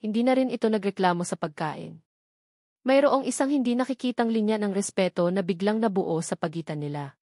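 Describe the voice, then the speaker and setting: A woman narrates a romantic audio drama with a measured, steady delivery. Her voice is mid-pitched. The recording is dry and close. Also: no room sound. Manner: measured storytelling.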